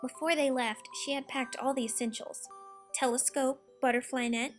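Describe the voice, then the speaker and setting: High-pitched woman narrating a children's storybook. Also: steady tone, moderate pace. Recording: music behind the narration. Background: music.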